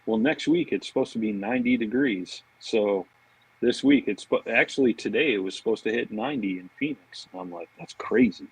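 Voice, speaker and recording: A man's voice: mid-range voice, a little fast, breathy edge, no room sound, low-quality recording. A man chatting casually and telling informal stories as a podcast guest. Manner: informal, anecdotal, peppered with "man" and "you know". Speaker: podcast guest, fishing marketing director. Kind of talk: casual chat.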